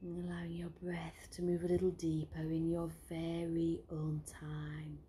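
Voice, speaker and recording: A woman leading a guided breathing meditation, giving slow, soothing instructions that often drop to a whisper. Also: low-pitched, monotone, mellow, a little hiss or hum.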